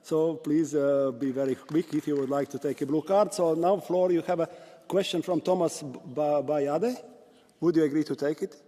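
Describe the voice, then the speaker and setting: A man chairing a parliamentary debate, halting and full of fillers and restarts. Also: a little high, mellow, in a large hall. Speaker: session chair.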